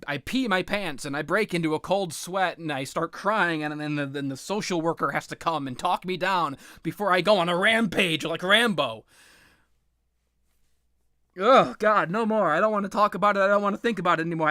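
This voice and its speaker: A man's voice: on the high side, sharp tone, no room sound, clean studio sound. A man doing casual, rambling video game commentary, sweary and self-deprecating, with a sardonic, sometimes theatrical way of talking. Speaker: gaming commentator.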